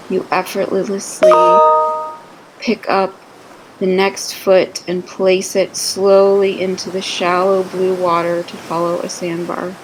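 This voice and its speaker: A woman narrating a scripted guided meditation in a soothing, deliberate manner with gentle pauses between phrases. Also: lowish voice, a little hiss or hum, low-quality recording.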